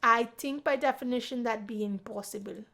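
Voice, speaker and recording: A woman's voice: fairly high pitch, moderate pace, gravelly, sharp tone. Visual novel role: playful female prankster acted by a woman, confident flirt who teases. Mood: teasing, flirty. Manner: teasing and confident. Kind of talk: visual novel character voicing.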